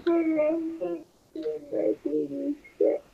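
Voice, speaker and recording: A woman from the United States in an intimate couple roleplay, cooing in a drawn-out, babyish way on a lo-fi recording. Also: sits high, little pitch movement, gravelly, a little hiss or hum. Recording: muffled playback of a lo-fi video.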